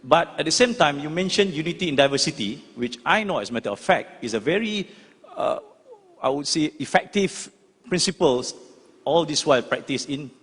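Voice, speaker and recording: A young man with a Malaysian accent putting a question at a public forum in a halting, deferential way. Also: on the high side, expressive, a bit bright. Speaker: audience questioner, member of parliament. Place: large hall, public forum. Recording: husky-edged sound in reverberant large hall.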